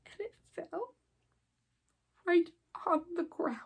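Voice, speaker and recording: A woman reading a children's story expressively, with dramatic pauses and a breathy, sometimes hushed delivery. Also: very high voice. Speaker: storybook reader.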